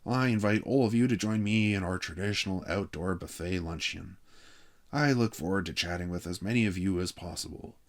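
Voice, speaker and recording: A man's voice: low voice, natural voice. A man voicing a dull, formal camp director who makes a stiff, boring speech in a storybook reading. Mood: stiffly formal. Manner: slow, stiff, boring oratory. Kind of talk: audiobook character speech.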